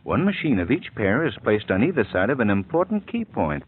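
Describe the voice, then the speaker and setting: An actor playing a suave male crimefighter in an old radio drama, with an expressive delivery. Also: lowish voice, brisk, slightly rough. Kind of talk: 1940s radio acting. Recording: tinny old radio broadcast with faint hiss.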